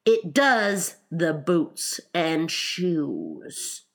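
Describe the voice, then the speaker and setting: Storybook gryphon played by a woman: bossy male beast, solemn and impatient, stretching words with emphatic pitch swings. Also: expressive, measured pace, a bit bright. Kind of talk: storybook character reading.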